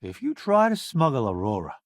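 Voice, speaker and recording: A man's voice: medium pitch, wildly swooping pitch, fairly quick, no room sound, studio quality. An actor playing a brash, self-assured middle-aged food journalist turned crewman in a video game, with a wry, theatrical delivery. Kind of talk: video game companion voice lines.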